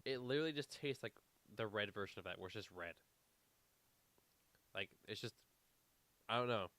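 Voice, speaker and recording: A man's voice: fairly low pitch, lively intonation, moderate pace, pushed and bright, no room sound. A man co-hosting a casual podcast, rambling with lots of fillers, a little congested. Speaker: podcast co-host. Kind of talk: casual chat.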